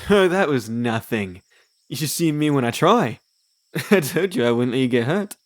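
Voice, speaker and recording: A man's voice: mid-range voice, lively intonation, moderate pace, no echo, close to an everyday voice. A man playing a carefree, charismatic, boastful wandering fiddler in an audio drama, with a breezy, cocky manner. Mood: breezy, confident. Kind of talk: audio drama character acting.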